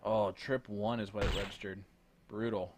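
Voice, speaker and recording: A man doing relaxed play-by-play commentary on a darts match, chuckling between calls. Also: fairly low pitch, normal speed. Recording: noticeable background noise.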